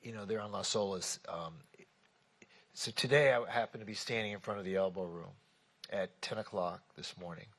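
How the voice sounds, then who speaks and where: A man's voice: lowish voice, moderate pace, fairly bright tone. A man chairing a city commission meeting, speaking informally and reassuringly to members of the public.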